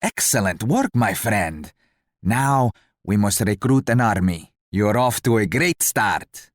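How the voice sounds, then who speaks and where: Stately, low-voiced colonial-era ruler. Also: male voice, very animated, slow, no echo, clean studio sound. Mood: courteous, pleased. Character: stately, courteous male colonial-era ruler, an allied leader. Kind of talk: scripted video game voice lines.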